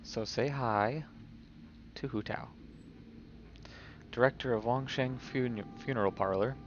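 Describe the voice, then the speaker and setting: A man's voice: medium pitch, measured pace, dull audio, a little hiss or hum. A man streaming a video game and giving casual live commentary as he plays.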